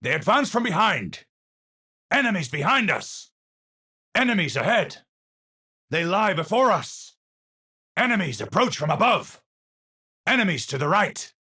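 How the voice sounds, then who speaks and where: An actor playing an eccentric, unstable scientist in a video game, speaking in a theatrical, formal and halting way. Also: male voice, high voice, very animated, bright, forceful tone, slightly rough, exaggerated voice. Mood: alert. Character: eccentric, unstable male scientist awed by the universe. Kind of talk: video game character voice lines.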